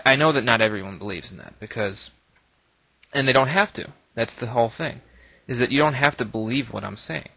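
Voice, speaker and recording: A man with a slightly nasal voice, as if coming down with a cold, talking earnestly and reflectively in a casual, unscripted monologue as an online gaming video creator. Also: mid-range voice. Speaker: YouTube Let's Play creator. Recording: boxy echoing room, dull lo-fi microphone.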